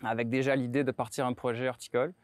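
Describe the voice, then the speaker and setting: A man from France talks casually about setting up his market garden, loose and easygoing with his fillers. His voice is smooth and clear at a middle pitch. The sound is dry and close. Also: flat delivery, quick pace, no echo. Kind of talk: casual interview.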